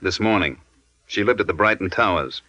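A hard-edged male crook in an old radio crime drama. His low voice is bright and forceful, and he talks curtly, like a schemer used to giving orders. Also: normal speed, dull audio. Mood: smooth, explaining. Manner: curt, tough-talking. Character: hard male crook, menacing gang schemer named Carl.

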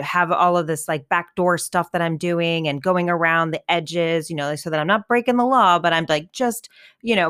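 Low woman, smooth voice, chatting earnestly w/ lots of "you know", bit hoarse, dry sound. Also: fairly bright tone, dry recording. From New York City. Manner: earnest, many fillers and restarts. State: losing her voice, coughing. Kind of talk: casual conversation.

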